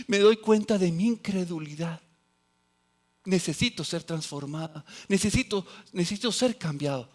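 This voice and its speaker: High-voiced man preaching a sermon. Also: expressive, takes it slowly, pauses often. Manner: swings between loud exclamations and quiet, low asides; quotes scripture and acts out voices of others. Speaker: pastor.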